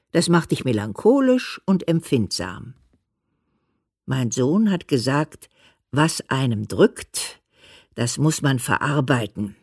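A scolding, motherly old lady in a radio drama. Her husky voice swings up and down as she hands out homely advice at an unhurried pace, recorded close and dry. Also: low-pitched, darkish tone, no room sound, exaggerated voice. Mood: chiding. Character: chiding, motherly old woman, the poet's mother. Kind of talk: German radio play acting.

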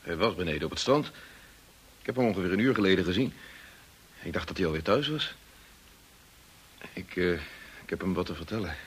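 Deep, husky, grave family friend. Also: male voice, lively intonation, a little fast, long pauses. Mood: uneasy, reluctant. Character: grave, hesitant, dutiful male family friend. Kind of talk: radio drama acting.

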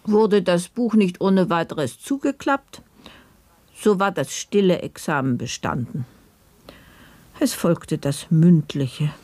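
A woman's voice: on the low side, expressive, takes it slowly, a little mellow, no echo. An actress playing an elderly grandmother who narrates memories of her youth as a housemaid in a German radio play, reflective and vivid. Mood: wry, explanatory. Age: older.

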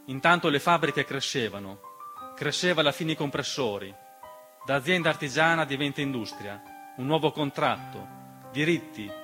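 A man performing a theatrical narrative monologue, measured and speaking directly to the listener with long pauses. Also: mid-range voice, animated. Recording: piano music behind the voice. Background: piano accompaniment.

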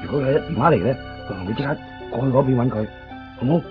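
A man's voice: mid-range voice, racing, natural voice. An actor plays an anxious, apologetic buddy in a Hong Kong Cantonese radio drama. His middling voice is talkative and evasive. It is an old, dull recording with some music behind. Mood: pleading. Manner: hesitant, stammering, pleading. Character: nervous, apologetic longtime male friend, talkative and evasive.

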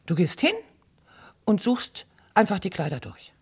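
An actress playing a smooth, scheming woman who talks persuasively in a German radio crime drama. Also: mid-range voice, big pitch swings, unhurried, exaggerated voice. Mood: coaxing. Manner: coaxing, persuasive. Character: smooth scheming woman feigning helpful concern.

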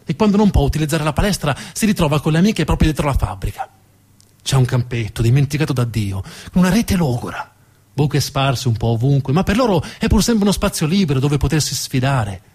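A man's voice: fairly high pitch, expressive, fairly quick, a little mellow, husky. A man performing a narrative theatre monologue, emphatic and theatrical, repeating words for effect. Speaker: storytelling stage actor.

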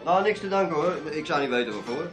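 A man's voice: on the high side, expressive, quick pace, music in the background, close to an everyday voice. A man playing a chatty, evasive small-time dealer in a radio drama, with a folksy, hesitant way of talking. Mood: casual, evasive. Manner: folksy, hesitant, tag-heavy talk. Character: chatty, evasive male dealer in odds and ends.

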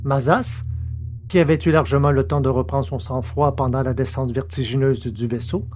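A man's voice: medium pitch, fairly even intonation, normal speed, darkish tone, a little hiss or hum. A man narrating an audio drama from a script in a careful, literary reading style. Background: music.

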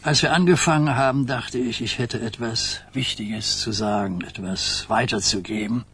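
An actor playing a grave, weary old mafia boss in a radio crime drama, an older man with a husky voice. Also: medium pitch, normal speed, a bit bright. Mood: resigned.

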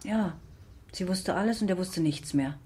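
An actress playing a sober, sceptical middle-aged sister in a naturalistic radio drama. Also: female voice, on the low side, normal speed, mellow, a little hiss or hum, natural voice. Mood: subdued, bleak.

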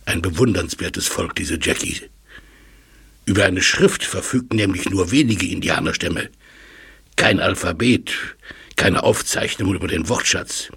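A man playing a learned, absorbed professor in a German audio drama, musing and explaining with thoughtful pauses. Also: low voice, a bit bright, slightly rough, full character voice. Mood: lecturing. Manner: musing, lecturing, hesitant pauses. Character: elderly learned male language professor, absorbed and astonished.

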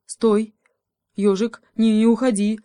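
Lady doing a cocky little wolf cub for a kids story, bullying, loud, voice swings all over, very dry sound. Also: medium pitch, expressive, measured pace, dry recording, lightly acted. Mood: pleading. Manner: loud, exclaiming, taunting. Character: boastful, bullying young wolf cub, easily panicked.

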